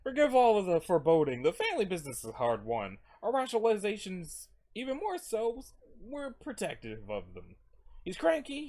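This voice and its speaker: A performer voices a cheerful homely mystic for a comic. The voice lifts high and swoops, expansive and reassuring in its philosophizing. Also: a little mellow.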